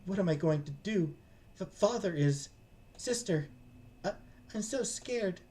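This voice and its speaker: A man puts on a distraught young woman from a visual novel, pitched up high and swinging with emotion. She sounds fragile and scared, stammering and pleading through her words. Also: expressive, measured pace, warm tone. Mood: panicked, tearful. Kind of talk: visual novel character read-aloud.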